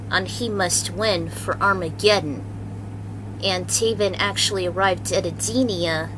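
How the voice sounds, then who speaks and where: A woman's voice: mid-range voice, background noise. A woman hosting and reading a story aloud in a casual, informal, unpolished way. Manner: informal, unpolished, swears freely. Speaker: host and reader of own fan fiction series.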